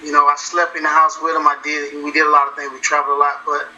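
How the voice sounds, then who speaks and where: A man, a musician talking in a casual interview, relaxed and repeating himself as he goes. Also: on the high side, fast, lo-fi sound. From South Carolina. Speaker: musician guest.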